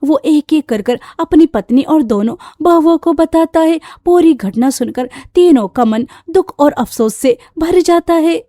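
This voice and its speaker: A woman storyteller with a very high voice and a soft, dark tone. She narrates a scripted cartoon tale. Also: lively intonation, unhurried, hardly any pauses, no room sound, studio quality.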